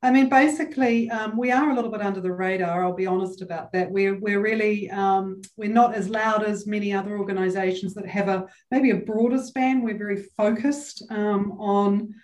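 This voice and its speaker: A woman's voice: medium pitch, fairly even intonation, normal speed, hardly any pauses, a little mellow, reverberant. A middle-aged woman with a New Zealand accent, answering interview questions in an easygoing way with frequent ums. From New Zealand and Germany. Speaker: guest, chamber of commerce chief executive.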